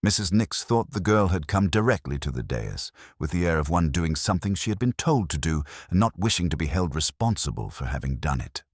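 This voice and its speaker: A man narrating a period mystery story in a measured, dryly humorous manner. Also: low-pitched, animated, no echo, studio quality.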